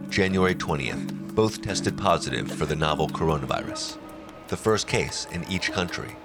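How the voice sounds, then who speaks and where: A man reading scripted documentary narration in a measured, serious manner. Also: low voice.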